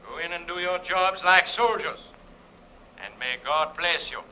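A man's voice: high-pitched, measured pace, sharp tone, exaggerated voice, low-quality recording. A man playing a stern naval commander in a radio war drama, solemn and commanding as he addresses his men. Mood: grave, resolute. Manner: commanding, solemn, projected delivery. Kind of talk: old-time radio war drama acting.

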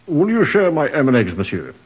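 Polite nosy hotel guest, swoopy pitch, old radio drama, big echo. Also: male voice, wildly swooping pitch, a little fast, husky. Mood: courteous. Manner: questioning, tags sentences with "no?". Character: foreign-sounding hotel guest, courteous and inquisitive man. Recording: dull, reverberant old radio recording.

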